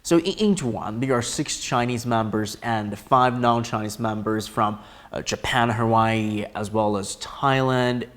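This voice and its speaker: A man hosting a news feature, giving a clear, scripted narration. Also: mid-range voice, measured pace, fairly bright tone. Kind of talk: presenter narration.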